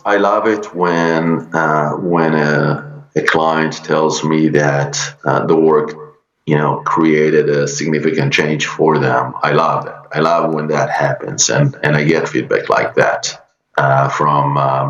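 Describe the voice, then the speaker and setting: A middle-aged man from Israel, a native Hebrew speaker with an Israeli accent, speaking as a guest in a podcast interview, halting, with many fillers and restarts. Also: rumbling low. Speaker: guest, energy healer. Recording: dull lo-fi audio in an echoey room.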